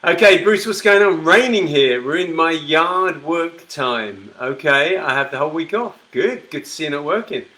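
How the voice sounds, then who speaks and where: A man's voice: fairly high pitch, expressive, moderate pace, hardly any pauses, bright, forceful tone. A middle-aged man hosting a casual live stream, chatting with viewers and reading their comments aloud. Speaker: host of a live whisky show.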